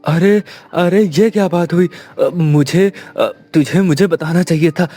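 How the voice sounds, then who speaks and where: A man's voice: a little high, measured pace, dark tone, soft background music. A man voicing a dutiful, caring adult son in a Hindi cartoon village story, with earnest, dramatic delivery. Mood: worried, tender.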